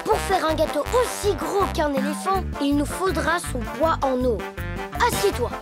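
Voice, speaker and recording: A woman's voice: high-pitched, moderate pace, lightly acted. A woman voicing a cautious, polite little boy with a hesitant manner in a children's cartoon. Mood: unsure, thoughtful. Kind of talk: animated children's cartoon dubbing. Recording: music under the voice.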